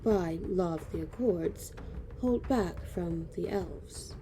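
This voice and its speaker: A woman's voice: on the low side, warm tone, soft background music. A woman playing a grave elven noblewoman who recounts ancient history somberly in a fantasy audio drama. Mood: somber, measured. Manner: measured, somber. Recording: clear background noise and ambience.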